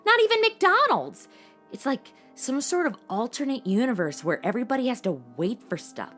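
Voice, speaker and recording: A sassy young woman played by an actress in an audio comedy, her pitch swinging up and down. She is flippant, impatient and gossipy, over light background music. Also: sing-song, normal speed, a bit bright. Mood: whiny, chatty. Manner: flippant, gossipy, valley-girl slang. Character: sassy, impatient, slang-happy modern twenty-something young woman. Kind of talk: comedy audio drama, chatty asides.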